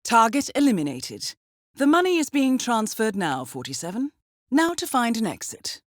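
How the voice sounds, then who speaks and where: An actress playing a composed intelligence handler who briefs an assassin in a measured, formal, understated way for a video game. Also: female voice, fairly high pitch, wildly swooping pitch, no room sound, studio quality. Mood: cool, efficient. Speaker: mission handler. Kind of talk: scripted video game voice acting, mission briefing.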